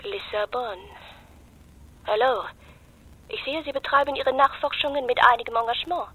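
Radio play: imperious businesswoman, French accent, formal and measured, rough-edged but bright tone. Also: high-pitched, heavily acted, poor audio. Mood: cool, businesslike. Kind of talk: radio drama acting.

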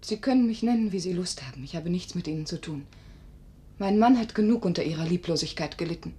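A woman's voice: lowish voice, animated, fast talker, dark tone, lightly acted. A woman playing a dignified young wife of twenty-five in a 1950s radio play, speaking with controlled, formal reproach. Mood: cold, restrained. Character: dignified 25-year-old wife of a dying man.

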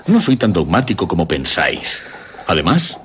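An actor playing a courteous, sceptical gentleman in a radio drama, politely formal and inquisitive. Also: low-pitched, wildly swooping pitch, normal speed, noisy background. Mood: incredulous. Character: courteous, sceptical gentleman father, a concerned old friend.

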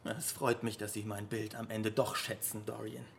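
A man's voice: on the low side, little pitch movement, moderate pace, creaky, background noise. An actor playing an earnest, easily hurt painter in a German radio play, speaking emphatically to his friends. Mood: subdued, hurt.

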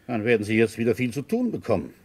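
An actor playing a shrewd, quietly ambitious rural police inspector in a German radio crime drama, questioning in a pointed, probing way. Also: male voice, mid-range voice, sing-song, fast, slightly rough. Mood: blunt, insistent.

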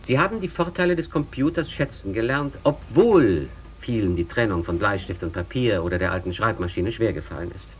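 An actor playing a confident lecturer, giving an articulate, formal and persuasive scripted speech. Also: male voice, on the high side, slight background noise. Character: male lecturer confidently promoting computer progress.

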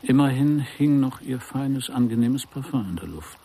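An actor playing a wry private detective who narrates his case in a dry, deliberate, sardonic way for a radio crime play. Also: medium pitch, expressive, mellow, a little hiss or hum, close to an everyday voice. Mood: wry, atmospheric. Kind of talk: scripted first-person noir radio play narration.

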